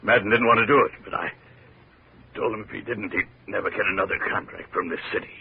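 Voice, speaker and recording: Very husky, dying corrupt mayor. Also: male voice, lowish voice, fast, full character voice. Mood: confessing, strained. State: weak, labored, halting. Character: dying, corrupt male city mayor, confessing, bitter. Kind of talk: 1950s radio drama acting. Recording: tinny, muffled 1950s radio recording.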